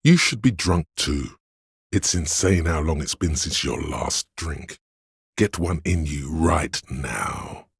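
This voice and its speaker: A man voicing the hedonistic inner voice of addiction in a video game, a sleazy tempter in the brain with a gravelly, husky, goading drawl. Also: rumbling low, expressive, takes it slowly, dry recording, clean studio sound, full character voice. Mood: urging, sly.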